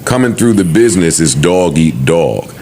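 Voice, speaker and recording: Low-voiced middle-aged man, streetwise and profane. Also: animated, normal speed, warm tone, slight background noise. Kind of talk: casual podcast panel talk.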